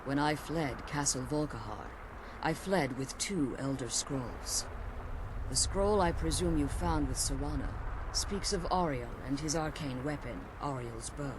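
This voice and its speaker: A woman's voice: sits low, unhurried, background noise. An actress playing an ancient vampire mother in a video game, imperious, severe and protective, with formal, theatrical speech. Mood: grave, solemn. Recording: loud game ambience behind the voice.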